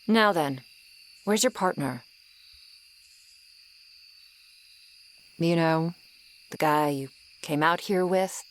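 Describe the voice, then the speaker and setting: A gruff tsundere swamp monster girl, acted by a woman for an ASMR roleplay. She speaks slowly in a slightly low voice, bossy and exasperated with a caring streak underneath. Faint insect ambience sits behind her. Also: a little hiss or hum. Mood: scolding, incredulous. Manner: exasperated, bossy, scolding, deliberate.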